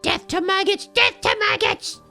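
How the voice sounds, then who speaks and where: A man's voice: very high-pitched, a little slow, gravelly, sharp tone, soft background music, pitched above the performer's own voice, exaggerated voice. A man voicing a grumpy, hostile old male villager in a game, with snarling, rustic folksy speech. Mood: chanting.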